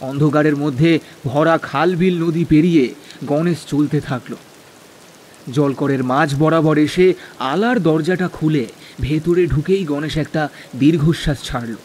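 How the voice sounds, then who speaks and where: A man's voice: fairly high pitch, measured pace, long pauses, a little mellow, slight background noise. A man narrating a scripted village ghost story in a dramatic storytelling style.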